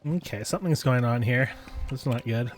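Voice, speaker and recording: A man's voice: mid-range voice, moderate pace, over loud music. A man giving casual let's play commentary as he plays through a game quest. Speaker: gamer playing through a quest.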